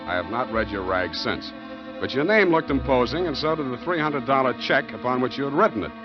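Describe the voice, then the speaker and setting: A man's voice: mid-range voice, lively intonation, fairly quick, close to an everyday voice. Hard-boiled American male private eye played by an actor, sardonic and flirtatious, on dull vintage radio with music underneath. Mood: dry, formal narrating. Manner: dry, sardonic, flirtatious banter. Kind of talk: 1940s radio detective acting.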